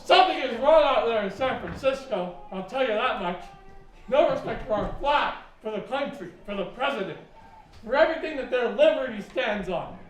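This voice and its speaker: Young actor as a high-pitched indignant father, echoey stage. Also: animated, normal speed, soft background music. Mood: outraged. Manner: ranting, declamatory. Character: gruff, indignant conservative middle-aged American father and husband. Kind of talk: stage acting in a one-act play. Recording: echoey stage recording, distant mic.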